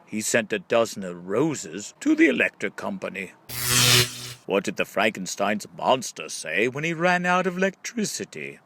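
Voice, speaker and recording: Low-voiced man reading riddles, swooping intonation. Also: very animated, creaky, heavy background noise. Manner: question-and-answer delivery with drawn-out punchlines. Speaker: audiobook reader. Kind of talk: scripted reading of riddles and punchlines.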